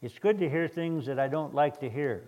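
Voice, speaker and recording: An older man preaches in a hoarse voice, often stumbling and restarting mid-sentence. His delivery is plain and unhurried as he reads scripture and comments on it. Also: fairly high pitch, lo-fi sound. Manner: halting, many restarts and fillers. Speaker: guest preacher. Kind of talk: sermon.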